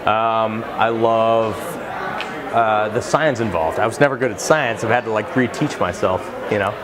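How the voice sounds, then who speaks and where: A man's voice: on the low side, fairly even intonation, normal speed, a crowd in the background. A man from Maine, a brewer speaking enthusiastically and candidly in a documentary interview.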